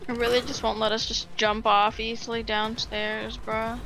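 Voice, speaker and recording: A woman's voice: a little high, flat delivery, normal speed, rough recording. A woman chatting casually as a teammate in an online game, sweary and teasing. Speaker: co-op gaming teammate. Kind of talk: casual gaming chat. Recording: game music behind the voice. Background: video game music.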